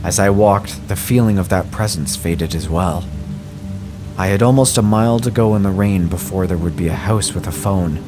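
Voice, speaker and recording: A man reading a scripted first-person horror story in a measured, grave way. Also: sits low. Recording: music bed under the voice.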